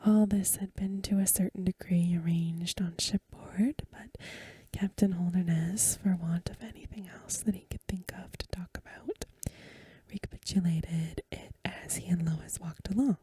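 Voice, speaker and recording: A woman reading a Victorian story for ASMR in a hushed, gentle, breathy whisper, unhurried and close to the microphone. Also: lowish voice, fairly even intonation, no echo. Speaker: ASMR reader.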